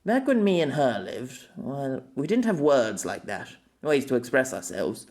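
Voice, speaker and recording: A man's voice: medium pitch, very animated, measured pace, a little mellow. A man voicing an earnest, reserved man who speaks hesitantly and reflectively in an audiobook. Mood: wistful. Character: earnest, wistful, reserved man confiding his feelings. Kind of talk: amateur audiobook character dialogue.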